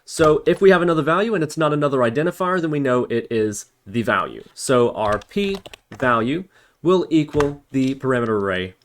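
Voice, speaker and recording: A man's voice: medium pitch, lively intonation, a little fast. A man giving an informal coding tutorial, thinking aloud as he works through each step. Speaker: programming tutorial instructor. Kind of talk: casual screencast coding tutorial, talking through steps while typing. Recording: background hiss, keyboard clicks and typing. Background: computer keyboard typing and mouse clicks.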